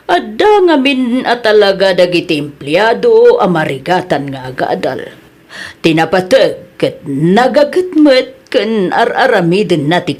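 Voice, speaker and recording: A Filipino woman playing a patient but firm boss in an audio drama, measured, often humming thoughtfully before she answers. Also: lowish voice, darkish tone, breathy edge, full character voice. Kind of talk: acted audio comedy-drama dialogue.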